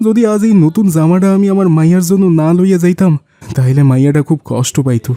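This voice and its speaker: A man's voice: high voice, lively intonation, fast, dark tone, no room sound. A man voicing a poor, humble rickshaw-puller father with a rural Bangladeshi dialect in a melodramatic cartoon. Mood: grateful.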